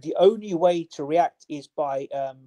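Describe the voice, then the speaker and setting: A man's voice: a little high, a little slow, mellow. A man, a personal trainer, answering interview questions in a chatty way, with many fillers and restarts. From Southwest London.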